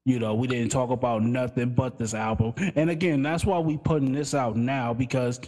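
A man co-hosting a casual podcast, agreeable and animated, swearing casually. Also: mid-range voice, moderate pace, in a large hall. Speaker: podcast co-host.

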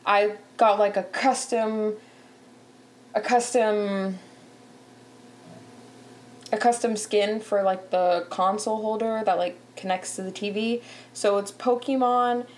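A woman's voice: medium pitch, fairly even intonation, slow, long pauses, a little hiss or hum. A young woman from Canada, hosting a show in casual chat, talking freely with lots of fillers and laughter. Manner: chatty, laughs often, many "like" fillers. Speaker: podcast host.

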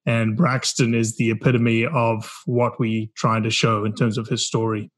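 A man's voice: mid-range voice, little pitch movement, normal speed. A man in his forties from South Africa with a South African accent, telling his story earnestly as a podcast guest. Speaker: podcast guest, documentary founder. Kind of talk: casual interview talk.

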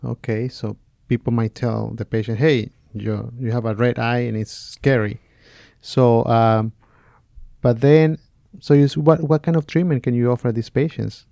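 A man's voice: medium pitch, steady tone, unhurried, gravelly, mellow, no room sound, studio quality. A man hosting a podcast, interviewing a guest in an easygoing, friendly way. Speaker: podcast host.

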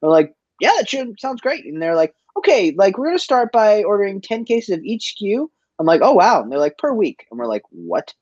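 A man's voice: sits high, big pitch swings, brisk, hardly any pauses, darkish tone, no echo. Casual man, dry slightly muffled sound. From East Boston, USA. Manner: informal, slangy, peppered with "like". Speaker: interview guest, chef and business owner. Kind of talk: casual conversational storytelling.

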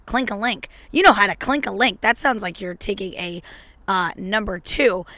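A slightly hoarse woman talks straight into a podcast mic, riffing and cracking jokes in a brash, playful ramble. Her voice sits at an ordinary middle pitch, and the sound is dry and close with no room around it. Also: sharp tone, dry recording. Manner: brash, joking, tongue-in-cheek rambling. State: a little hoarse. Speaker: podcast host. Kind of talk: casual solo podcast monologue. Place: at home on a couch. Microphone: podcast microphone.

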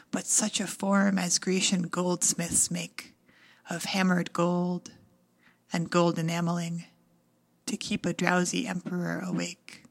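Woman reading a poem aloud, real slow and kinda low, careful phrasing. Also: gravelly, a bit bright. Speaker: poetry reader. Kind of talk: poetry recitation.

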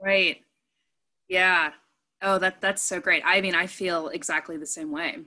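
A woman's voice: fairly low pitch, steady tone, unhurried, sharp tone. Chatty enthusiastic woman interviewer. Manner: chatty and enthusiastic, trails off into lists. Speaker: interviewer, fellow actor. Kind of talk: casual conversational interview.

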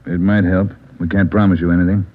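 A firm, low-voiced police chief. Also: male voice, little pitch movement, quick pace. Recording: tinny old radio recording with clicks.

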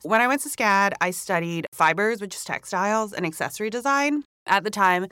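A woman's voice: medium pitch, fairly quick, clear, resonant tone, no room sound, studio quality. A young woman from Hong Kong, a podcast guest chatting casually with lots of "like" fillers. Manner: relaxed, chatty, many "like" fillers. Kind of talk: casual interview chat. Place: recording studio.

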